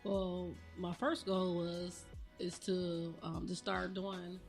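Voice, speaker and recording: A woman in her forties talks in an easy, agreeable way on a podcast and often answers briefly. Her voice is on the low side. Soft music plays faintly in the background. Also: slow pace, gravelly. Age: 40s. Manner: relaxed, agreeable, short replies. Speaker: podcast guest, aspiring boutique owner. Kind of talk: casual podcast chat.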